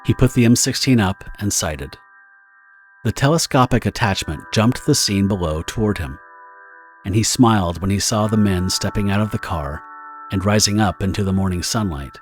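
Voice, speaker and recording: A man narrating a scripted dramatic story in a measured, theatrical storytelling manner. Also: fairly low pitch. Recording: music under the narration.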